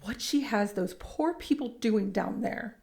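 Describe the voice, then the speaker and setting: A woman's voice: medium pitch, animated, measured pace, gravelly, darkish tone. An actress playing an anxious, earnest woman recovering in physical therapy. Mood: worried.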